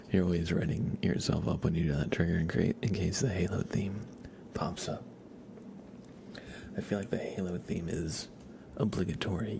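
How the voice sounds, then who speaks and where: A man hosting a live ASMR stream, chatting softly to viewers and gently guiding them in an unhurried way. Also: deep voice, creaky, background noise. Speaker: ASMR livestream host.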